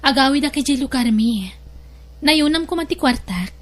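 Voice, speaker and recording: A money-minded woman in an audio family drama , played by an actress, has a high-pitched voice. She coaxes and presses the people around her in acted, conversational dialogue. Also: lively intonation, normal speed. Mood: asking, wheedling.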